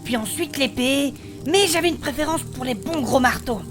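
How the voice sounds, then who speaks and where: A woman playing a spirited female blacksmith adventurer, recounting her past in animated, colloquial in a fantasy audio drama. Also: high voice, moderate pace, music in the background. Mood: lively storytelling. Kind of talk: French fantasy audio fiction acting.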